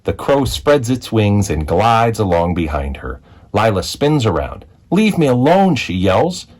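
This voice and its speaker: Animated low-voiced man reading a storybook. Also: unhurried. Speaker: read-aloud storyteller, school executive. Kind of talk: expressive children's picture book narration.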